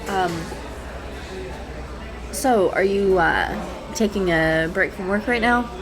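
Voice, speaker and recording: A woman's voice: mid-range voice, slow, music in the background, close to an everyday voice. A woman playing a shy, adorkable young writer in an audio roleplay, speaking hesitantly with stammers, nervous giggles and apologetic phrasing. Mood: awkward, friendly. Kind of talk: intimate one-sided audio roleplay acting. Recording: clear background noise, cafe ambience effects. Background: coffee shop sound effects.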